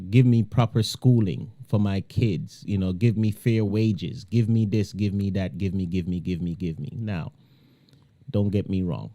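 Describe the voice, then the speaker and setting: A man's voice: lowish voice, mellow, no echo. A man hosting a talk radio show, rambling and drawn out, laughing often. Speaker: radio host.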